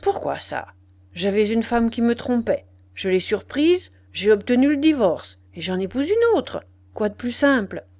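A woman's voice: a little high, very animated, a little slow, a little mellow. A woman reading an audiobook plays a cynical, domineering man, speaking in a curt, haughty, commanding manner. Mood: cynical, self-assured. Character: cynical, cold, domineering man leaving his mistress. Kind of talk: dramatised audiobook dialogue.

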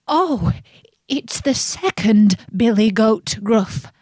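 A woman's voice: on the high side, expressive, takes it slowly, darkish tone, dry recording, studio quality. A woman voicing a nervous, pleading middle-sized billy goat in a storybook tale.

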